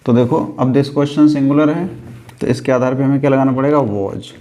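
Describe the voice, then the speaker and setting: A man's voice: medium pitch, steady tone, moderate pace, mellow. A man with an Indian accent teaching an English grammar lesson step by step, repeating phrases and answering his own questions. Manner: didactic, repeats phrases and asks himself questions then answers.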